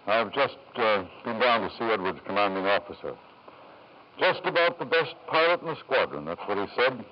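A man's voice: fairly low pitch, animated, moderate pace, a little hiss or hum, lightly acted. An actor playing a middle-aged titled father, proud and self-justifying, with an urbane, insistent manner in a 1950s radio drama. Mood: proud, concerned. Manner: urbane, insistent, repeats questions for emphasis. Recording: dull vintage radio recording.

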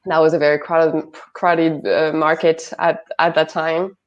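A woman's voice: low-pitched, level tone, a little slow, dull audio. A young woman with a French accent, a guest in an interview, talking with many fillers and restarts. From northern France. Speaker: guest, product manager. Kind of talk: interview conversation.

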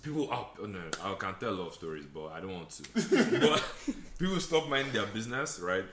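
Lively, bright-voiced Nigerian man. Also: lowish voice, fairly quick, slight background noise. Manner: animated, laughs often, acts out others' lines. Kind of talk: casual chat, storytelling. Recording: roomy echoey room.

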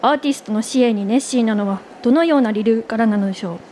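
A woman playing a brisk, polite reporter in a radio drama, formal and inquisitive. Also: a little high, warm tone, slight background noise, close to an everyday voice. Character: brisk, polite female reporter interviewing a tycoon. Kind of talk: Japanese radio drama acting.

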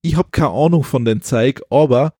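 Man, Austrian accent, slightly low voice, podcast co-host, casual opinionated talk, halting repeated starts, dry sound. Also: mellow, slightly rough, dry recording.

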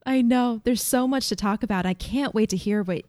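A woman's voice: fairly high pitch, moderate pace, a little mellow, no room sound, clean studio sound. A woman co-hosting a fan podcast who steers the talk into a careful, organized recap in casual chat. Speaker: podcast co-host.

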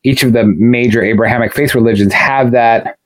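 A man's voice: mid-range voice, fairly even intonation, fairly quick, dry recording, rough recording. Casual man on a dry, close recording. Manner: discursive, checks in with "right?". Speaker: podcast co-host. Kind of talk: casual discussion.

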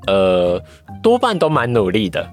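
A woman's voice: rumbling low, wildly swooping pitch, a little slow, a little mellow, soft background music. A woman with a Taiwanese Mandarin accent, a podcast guest giving a casual personal account and often searching for words. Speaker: podcast guest, voice acting trainee.

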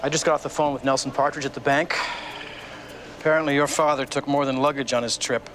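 An actor playing a smooth-talking male dairy manager, delivering bad news in a brisk, matter-of-fact way, in a film clip with music behind. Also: medium pitch, level tone, a bit bright, close to an everyday voice. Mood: grave. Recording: film soundtrack over music.

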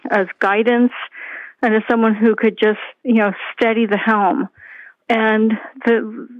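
A woman's voice: a little high, no room sound. A middle-aged woman professor explaining grief in a thoughtful way, heard over a phone line on the radio. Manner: thoughtful, explanatory, with some restarts. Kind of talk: radio interview.

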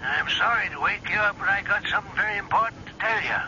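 High creaky guy on the phone late at night, urgent and sorry, old lo-fi radio drama. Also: fast talker, sharp tone. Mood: urgent, hesitant. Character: apologetic man phoning late at night with urgent news. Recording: lo-fi, noisy old radio recording.

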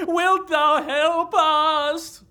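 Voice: sits high, steady tone, drawn-out words, pushed and bright, heavily acted. A performer playing a grandiose, doom-laden tragic stage prince with theatrical declamation in a comedic audio drama. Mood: pleading.

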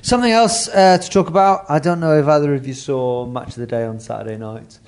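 A man's voice: a little high, fairly quick, a little mellow, slight background noise. A man from England hosting a casual football chat, leading new topics and laughing as he tells stories. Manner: chatty, laughs while telling stories.

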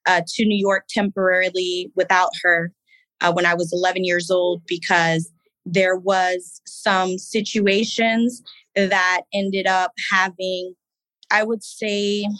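A woman in her thirties from Haiti, a podcast guest telling her story in an unhurried, reflective way with fillers. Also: mid-range voice, flat delivery, fairly bright tone, no room sound, rough recording. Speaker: guest, business owner and Navy veteran. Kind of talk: casual interview talk.

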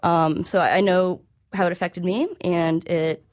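A woman's voice: lowish voice, fairly even intonation, unhurried, darkish tone. A woman reporter speaking as an interview guest, explaining informally in a hesitant, conversational way.

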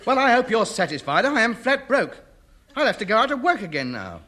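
An actor playing a pompous, exasperated and easily cowed employer in a theatrical 1950s radio sitcom. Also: male voice, high voice, very animated, fairly quick, bright, forceful tone, exaggerated voice. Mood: exasperated, indignant. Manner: theatrical, indignant, grovelling when beaten. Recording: noisy old radio recording.

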